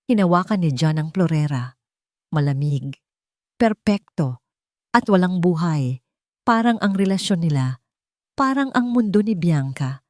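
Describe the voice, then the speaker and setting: Woman, Filipino accent, dramatic storytelling, very lively intonation, dry close sound. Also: low voice, no room sound. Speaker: storyteller, narrator. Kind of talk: dramatic scripted narration of a romance drama audio story.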